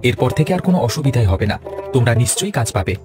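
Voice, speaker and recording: A man's voice: mid-range voice, sing-song, racing, lightly acted. A man playing a kindly, hearty village uncle in a cartoon, reassuring and avuncular. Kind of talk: cartoon voice acting. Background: instrumental music.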